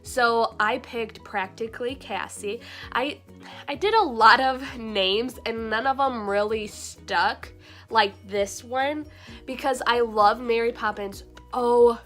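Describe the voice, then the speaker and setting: A young woman vlogger talks in a chatty, excitable way, with a voice sitting a little high for her. A recent cold leaves her sounding slightly nasal. Light music plays softly behind her. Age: young adult. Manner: bubbly, chatty, frequent fillers and laughs. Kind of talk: casual vlog chat.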